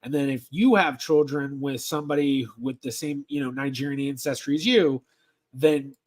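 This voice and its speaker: A man hosting a casual livestream Q&A as a genealogist, talking in a halting way with many ums and restarts as he reads viewer questions aloud. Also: medium pitch, fairly even intonation, a little mellow.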